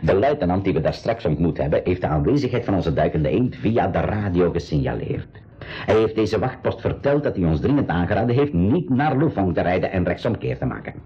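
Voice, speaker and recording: An actor playing a calm, reassuring uncle who takes charge of young travellers in a radio drama. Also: male voice, sits low, brisk, husky, muffled recording. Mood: worried, resigned. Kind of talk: 1980s Dutch-language school radio drama acting.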